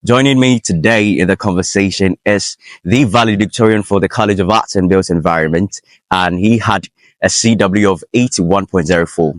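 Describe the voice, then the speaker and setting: A man's voice: sits low, normal speed, dry recording. A man hosting an interview podcast, formal and lavish with praise for his guest. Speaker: podcast host.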